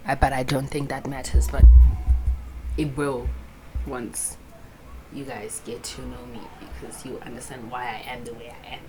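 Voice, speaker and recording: Low-voiced young South African woman. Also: level tone, moderate pace, long pauses, music in the background, poor audio. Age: 20s. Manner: rambling, chatty, swears freely, switches into local words. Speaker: vlogger. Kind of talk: casual chat to camera. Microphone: phone camera with a clip-on mic.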